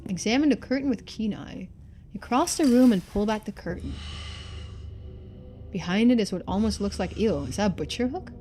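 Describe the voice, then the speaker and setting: A woman's voice: medium pitch, expressive, normal speed, warm tone, a little hiss or hum. A woman streamer giving casual playthrough commentary, thinking aloud and reading game text out.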